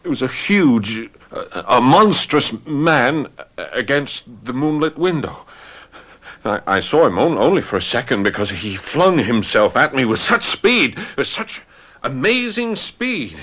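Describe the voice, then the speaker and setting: Young gendarme, agitated, stammering, melodramatic radio mystery delivery, pitch swinging widely. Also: male voice, sing-song, measured pace, fairly bright tone. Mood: shaken. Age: young adult. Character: distraught, excitable young French gendarme in love. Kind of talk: radio mystery drama acting.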